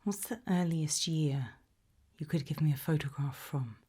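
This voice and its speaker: A British woman playing an eerie, probing researcher of human behaviour in a whispered ASMR roleplay, slow, deliberate and softly menacing. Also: low-pitched. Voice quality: breathy whisper.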